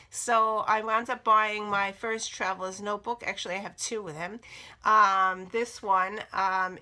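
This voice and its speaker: Slightly raspy woman, bright chatty vlogger. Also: mid-range voice. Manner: rambling, many ums and restarts. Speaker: book vlogger. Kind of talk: casual chat showing items to camera.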